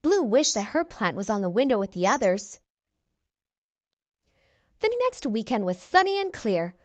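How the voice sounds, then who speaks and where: A woman's voice: on the high side, very animated, measured pace, no room sound. A woman narrating a children's book aloud, expressively and with careful enunciation. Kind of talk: read-aloud storytelling for young children.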